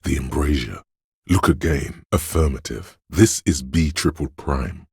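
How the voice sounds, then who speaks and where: A man voicing a detective's coolly observant inner voice of visual reasoning in a video game, narrating in a measured, clinical, matter-of-fact way with a deep, gravelly tone. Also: lively intonation, dry recording, clean studio sound, full character voice. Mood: intent. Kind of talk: scripted second-person game narration.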